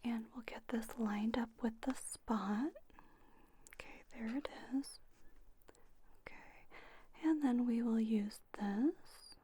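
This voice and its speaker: A woman doing a whispered ASMR roleplay as a spa owner, gently explaining each step to a trainee. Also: a little high, slow pace, pauses often, slight background noise. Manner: gentle, instructional, step-by-step narration.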